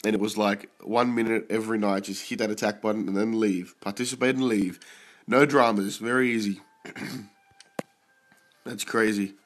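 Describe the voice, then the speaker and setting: A young man who is sick and keeps clearing his throat, casually commenting on his mobile game pulls and getting excited and swearing at good results. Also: lowish voice, moderate pace.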